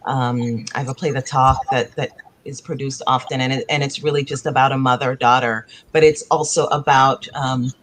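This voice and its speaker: A woman's voice: a bass voice, steady tone, moderate pace, a little hiss or hum, rough recording. Thoughtful woman playwright on a video panel. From born in Africa, raised in the United States. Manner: thoughtful, searching, circles back to rephrase. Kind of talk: panel discussion over video call. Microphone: video call.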